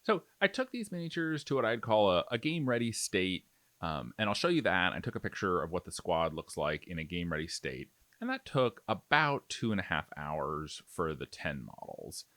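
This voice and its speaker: A man's voice: deep voice, very animated, normal speed, fairly bright tone, no echo. A man giving a casual, explanatory step-by-step tutorial voice-over in an informal way. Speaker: miniature painting tutorial host.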